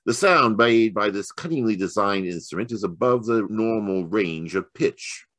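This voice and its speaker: Guy acting old radio mystery detective, lowish voice, really animated, stammery and formal, dry sound. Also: dry recording. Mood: deliberate, authoritative. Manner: halting, stammering, then deliberate and formal. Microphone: home microphone on a video call.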